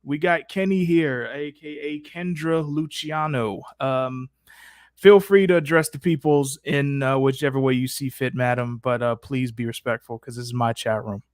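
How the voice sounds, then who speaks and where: A man's voice: medium pitch, steady tone, normal speed, dry recording, studio quality. A man hosting a live stream, chatting casually in an informal, slangy way.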